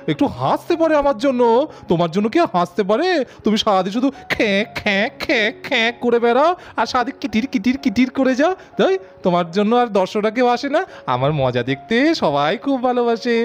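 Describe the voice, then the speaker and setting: A man's voice: high voice, very animated, a little fast. A man voicing a boastful, joking father and husband in a doll cartoon, teasing his wife.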